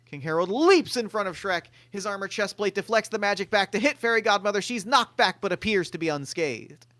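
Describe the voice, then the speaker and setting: A man narrating the stage directions of a table read and hosting the stream, steady and matter-of-fact. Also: high-pitched, fairly quick, no room sound.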